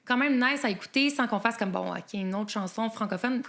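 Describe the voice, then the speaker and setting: A young woman with a strong Québécois accent chats loosely on a podcast, stringing her thoughts together with "tu sais". Her voice sits a little high and rings bright and forward. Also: vocal fry. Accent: Québécois French. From Quebec. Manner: chatty, quick, fillers like "tu sais". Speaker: guest singer. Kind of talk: casual interview chat.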